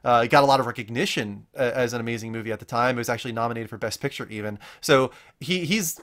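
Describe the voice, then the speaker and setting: A man's voice: mid-range voice, fast, fairly bright tone. A man hosting a casual panel discussion, speaking in an informal, chatty way. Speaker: host of a movie news talk show.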